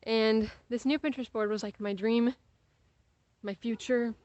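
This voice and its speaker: A woman's voice: on the high side, steady tone, unhurried, a little mellow, dry recording, lo-fi sound. Young American woman, casual dry outdoor vlog. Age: young adult. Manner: giggly, easily sidetracked, playfully exaggerating. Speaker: vlogger. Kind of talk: casual rambling chat to camera while walking outdoors. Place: outdoors on a sidewalk, walking.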